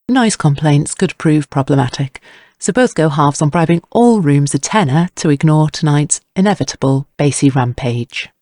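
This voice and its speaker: A man's voice: fairly high pitch, creaky, darkish tone, dry recording, clean studio sound. A man reading scripted narration for a crime audio drama.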